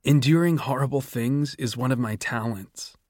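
A man doing a husky-voiced young woman singer. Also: medium pitch, normal speed, darkish tone, no echo, studio quality, close to an everyday voice. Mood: wry. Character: tough young female singer, husky whiskey voice.

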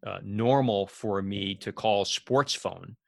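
A middle-aged man hosting an interview, chatting casually in anecdotes with a halting, meandering delivery. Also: fairly low pitch, creaky, no room sound, studio quality. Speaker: interview host.

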